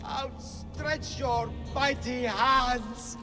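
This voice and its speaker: An actress voicing a grandiose, zealous cult leader in a video game cutscene, theatrical and declamatory. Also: female voice, sits high, extremely slow, long reverb tail, over music. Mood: ecstatic, exalted.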